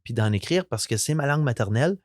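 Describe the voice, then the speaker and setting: A young man with a Franco-Ontarian French accent, a musician chatting as a guest, relaxed and rambling with lots of fillers. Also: medium pitch, quick pace, no room sound, clean studio sound. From Eastern Ontario, Canada. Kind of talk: casual interview chat.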